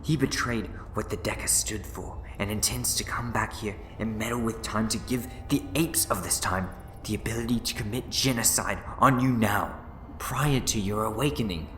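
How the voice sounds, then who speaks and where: Guy playing a bitter scheming time lord, bright forceful voice, talks down to everyone, roomy echo. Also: on the low side, slight background noise, close to an everyday voice. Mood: bitter, urgent. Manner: theatrical, condescending, deliberate speechifying. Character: scheming, bitter renegade Time Lord man pleading his case. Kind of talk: sci-fi audio drama acting.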